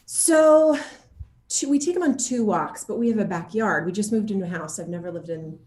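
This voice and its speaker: A woman's voice: mid-range voice, expressive, normal speed, darkish tone. Bubbly, giggly woman in an echoey room. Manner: bubbly and giggly, uses playful slang. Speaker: actor being interviewed. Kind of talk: casual chat in a video interview. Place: home audition room.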